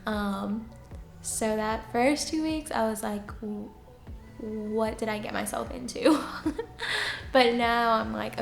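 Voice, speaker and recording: A young woman talks in a soft, fairly high voice with a gravelly edge. She chats easily, filling pauses and laughing often. The room is echoey, with faint music behind her. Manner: chatty, laughs often, lots of fillers. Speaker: teacher answering viewer questions. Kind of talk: casual Q&A chat.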